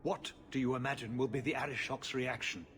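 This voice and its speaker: An actor playing a stern, worried man of authority in a fantasy video game, speaking formally and gravely. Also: mid-range voice, steady tone, a little fast, fairly bright tone, a little hiss or hum, natural voice. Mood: grave, urgent.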